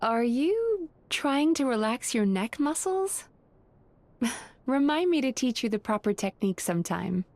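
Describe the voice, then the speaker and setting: A woman voicing a gentle, playful young female therapist in a video game, with a soothing, teasing, polite manner. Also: sits high, sing-song, unhurried, exaggerated voice. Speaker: game character.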